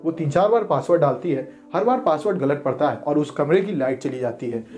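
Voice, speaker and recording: A man's voice: on the high side, lively intonation, brisk, darkish tone. A man narrating a movie recap from a script, with music behind him. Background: background music.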